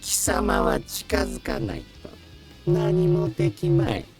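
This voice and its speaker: An actor playing an arrogant, menacing alien sand god in a visual novel, imperious and contemptuous of humans. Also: male voice, very deep voice, sing-song, normal speed, creaky, a little mellow, soft background music, heavily acted. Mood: scornful. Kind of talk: Japanese visual novel villain acting.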